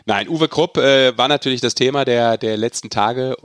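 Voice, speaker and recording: A man's voice: mid-range voice, moderate pace, fairly bright tone, clear, resonant tone, dry recording. Casual male podcast host, dry sound. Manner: informal, bantering. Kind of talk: casual sports podcast chat.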